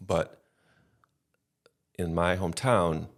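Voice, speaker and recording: A middle-aged man from the American Midwest talks in a very deep voice. He wanders through his stories and often makes fun of himself. The talk is loose and chatty, like a podcast conversation. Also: slow pace. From Midwestern United States. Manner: rambling, self-deprecating storyteller. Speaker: podcast guest. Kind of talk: casual chat.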